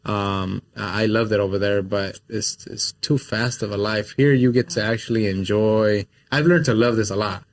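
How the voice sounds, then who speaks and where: Man from mexico, casual chat guest, lowish voice, easygoing, rambling. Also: steady tone, moderate pace, few pauses. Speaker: guest, business owner.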